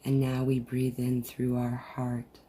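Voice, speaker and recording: A person leading a guided meditation in a soothing, deliberate manner with long pauses, recorded over a video call. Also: medium pitch, completely flat delivery, mellow. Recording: noisy, muffled group video-call audio.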